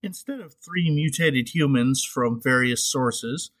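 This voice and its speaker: Male podcast host, mid-pitched and bright with a forceful edge, wry and informal solo commentary. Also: measured pace. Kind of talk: casual comedic solo commentary.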